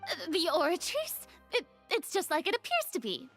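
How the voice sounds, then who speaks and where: A woman's voice: very high voice, wildly swooping pitch, moderate pace, fairly bright tone, full character voice. An actress playing a proud, theatrical young female god in a video game, pompous and defensive, haughty yet insecure underneath. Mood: flustered. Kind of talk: dramatic video game voice acting. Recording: faint background music.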